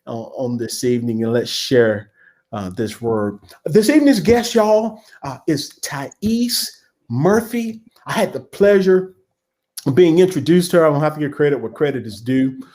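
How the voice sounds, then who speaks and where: A man's voice: a little high, sing-song, unhurried, darkish tone. A man, a pastor hosting a church livestream talk show, speaking in a folksy, repetitive way with homespun sayings. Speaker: pastor and host.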